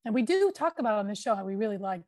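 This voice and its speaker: A woman's voice: medium pitch, sing-song, racing, a little mellow, no room sound, studio quality. Chatty woman telling a true-crime story. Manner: chatty, emphatic, filler-heavy retelling. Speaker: podcast host.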